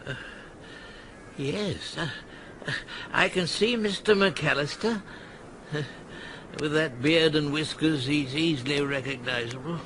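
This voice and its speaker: An actor playing a discreet, deferential English butler who speaks politely and a bit hesitantly. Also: male voice, fairly high pitch, a little slow, long pauses, a bit bright, a little hiss or hum, rough recording.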